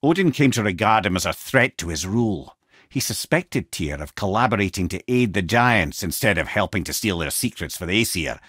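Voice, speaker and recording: A man's voice: on the low side, very animated, a little fast, a bit bright, slightly rough, no room sound, clean studio sound, exaggerated voice. An actor with a Scottish accent playing a clever, chatty old male advisor in a video game, speaking with wry eloquence like a storyteller. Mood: earnest. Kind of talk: video game character voice lines.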